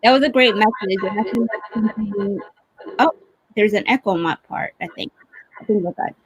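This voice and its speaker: A woman's voice: mid-range voice, brisk, slightly rough, low-quality recording. A woman hosting an informal livestream, speaking in an appreciative, encouraging manner over a video call. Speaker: host of livestreamed read-along.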